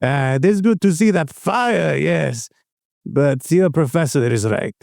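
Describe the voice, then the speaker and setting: Male actor as gravelly grandfather, mellow tone, proud, menacing, overbearing, audio drama. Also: animated, a little slow, dry recording. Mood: stern. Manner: stern, teasing, booming laughs. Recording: faint music behind a dry voice.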